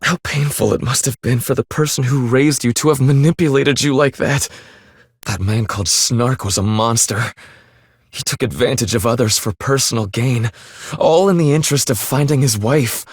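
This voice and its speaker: Video game role, male actor: solemn, formal man, earnest and devoted, mid-range voice with a slightly husky edge, dry studio sound. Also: mid-range voice, no echo, close to an everyday voice. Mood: solemn, remorseful. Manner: formal, measured, solemn phrasing. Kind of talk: dramatic English video game voice acting.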